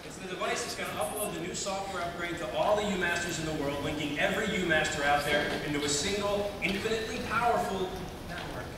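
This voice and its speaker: An actor playing a bewildered young boyfriend in a flustered, halting live comedy script reading. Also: male voice, high-pitched, lightly acted. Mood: earnest. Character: bewildered young boyfriend caught among secret agents. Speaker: actor at a live table read. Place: large convention panel hall. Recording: echoey hall, distant mic, loud noise.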